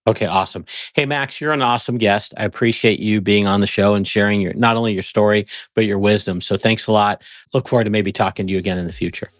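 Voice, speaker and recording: A male podcast host speaks in a low voice, affirming his guest in a friendly, conversational way. The sound is close and dry. He tells stories and wraps up in an easy, unhurried manner. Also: steady tone, no echo. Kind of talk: casual interview chat.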